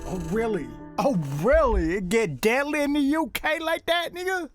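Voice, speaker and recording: A man's voice: extremely high pitch, normal speed, over loud music. A man reacting casually on a livestream, slangy, profane and exclamatory. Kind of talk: casual live reaction chat.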